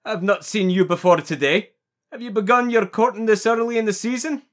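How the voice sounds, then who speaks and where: A man's voice: high-pitched, a little fast, lightly acted. A man playing a surly old country gardener. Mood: fond. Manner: rustic old-fashioned dialect speech. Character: surly old male gardener, gruff but fond of birds. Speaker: actor in an audio drama. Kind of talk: amateur audio drama acting.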